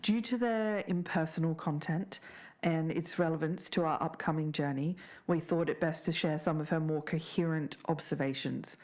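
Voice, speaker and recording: A woman playing a podcast investigator, speaking in a measured, sceptical and deliberate way as she narrates and discusses. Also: deep voice, darkish tone, lightly acted. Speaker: podcast co-host.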